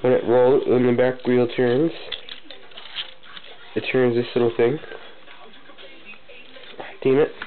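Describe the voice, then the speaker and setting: A man's voice: level tone, takes it slowly, pauses often. Stuffy-nosed young guy rambling about his build, ums and restarts, sounds like a cheap phone mic. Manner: casual, hesitant, many fillers and restarts. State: has a cold, sniffling. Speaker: hobby builder showing a model. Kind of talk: casual show-and-tell vlog. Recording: tinny phone-like low-fi audio, faint noise.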